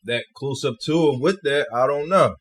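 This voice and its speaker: A man's voice: mid-range voice, normal speed, fairly bright tone. A man on a podcast panel debating casually, with slangy and profane wording.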